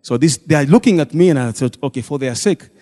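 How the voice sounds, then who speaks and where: A man's voice: fairly high pitch, lively intonation, fast, warm tone. A man, a deacon telling the congregation a story about a church meeting and acting out the people he quotes. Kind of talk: church testimony retelling a meeting. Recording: long hall reverb.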